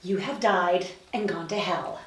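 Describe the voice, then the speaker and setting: A woman's voice: on the low side, a little slow, fairly bright tone, slight background noise. A woman reading the part of a chirpy, bossy, sardonic resident of hell in a drama table read. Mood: firm, businesslike. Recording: roomy echo, distant mic.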